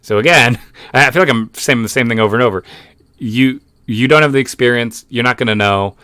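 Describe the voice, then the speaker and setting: A man's voice: mid-range voice, a little fast, bright, forceful tone, clean tone, dry recording, clean studio sound. A man giving casual reaction commentary, skeptical and rambling with restarts. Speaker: YouTube commentator.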